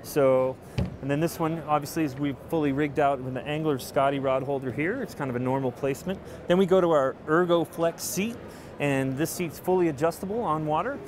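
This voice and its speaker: A man's voice: on the high side, fairly quick. A man in his forties giving a casual, informal product walkthrough as a company representative, sometimes hesitating mid-phrase. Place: large indoor convention hall booth. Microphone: handheld interview microphone held by interviewer. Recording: noisy busy trade show floor.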